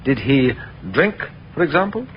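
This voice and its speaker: An actor playing a courteous but firm police inspector in a 1950s radio drama, speaking in polite, measured officialese. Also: male voice, a little high, very animated. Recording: dull, hissy old 1950s radio recording.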